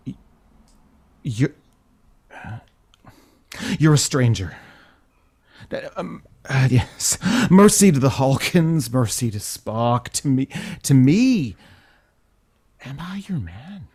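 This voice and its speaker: Man playing a breathy, seductive starship consort. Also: mid-range voice, very animated, a little mellow, exaggerated voice. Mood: tender, pleading. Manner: breathy, halting, sultry and theatrical. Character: seductive, wounded male consort of a starship captain. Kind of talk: gender-swapped science fiction audio drama acting.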